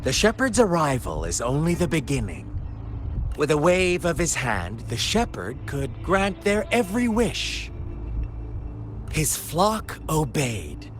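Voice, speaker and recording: An actor playing a sly, mocking villain who tells tales with theatrical, taunting drama in a video game. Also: male voice, a little high, huge pitch swings, slow pace, soft background music, full character voice. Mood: mocking storytelling.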